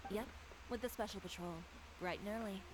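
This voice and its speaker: A woman's voice: medium pitch, brisk, a loud crowd, lightly acted, poor audio. A woman voicing a composed, confident female fashion designer and boutique owner in a studio game. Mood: businesslike.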